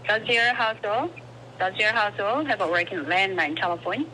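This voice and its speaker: Lady doing a phone survey, bright voice, polite but keeps repeating questions, on speakerphone w/ a bit of noise. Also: medium pitch, fairly quick. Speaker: telephone survey interviewer. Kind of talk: scripted phone survey with hesitant ad-libs. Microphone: phone call picked up by a camera in a garage. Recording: noisy phone call over speakerphone.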